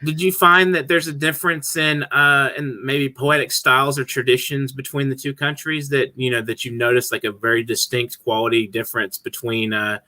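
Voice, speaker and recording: A male interview host with a bright, forceful voice. He asks long, meandering questions full of fillers and restarts. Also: medium pitch, level tone, normal speed, few pauses. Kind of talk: casual interview.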